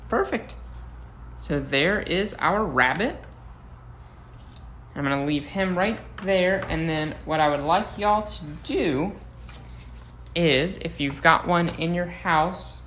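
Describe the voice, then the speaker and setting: American male primary teacher, casual and playful demo for young children, clearly noisy home recording. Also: fairly high pitch, a bit bright. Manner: playful, talks to young viewers as he works. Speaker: first-grade teacher. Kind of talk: casual craft demonstration for children.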